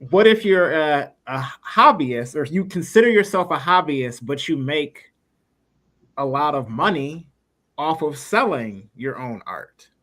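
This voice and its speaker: A man's voice: on the high side, animated, a little slow, a bit bright. Rambling male interview host. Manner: rambling, circles around questions before landing them. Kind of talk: casual interview chat.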